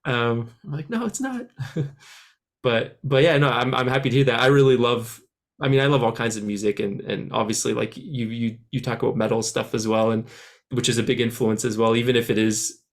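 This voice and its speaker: A man's voice: medium pitch, fairly quick. A man, born in France and raised in Canada, talking at length as a guest musician in a casual interview, with many restarts and filler words.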